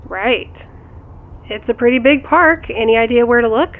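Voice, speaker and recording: An actress playing a scientist's teasing sister in an audio drama, speaking over a phone line. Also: female voice, fairly high pitch, moderate pace. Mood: teasing, curious. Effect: phone filter. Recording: thin tinny phone line.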